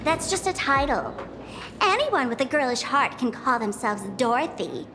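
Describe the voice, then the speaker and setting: A woman's voice: sits high, sing-song, normal speed. A woman voicing a cheeky, mischievous young female trickster in a video game, playful, offhand and taunting. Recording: long reverb, music underneath.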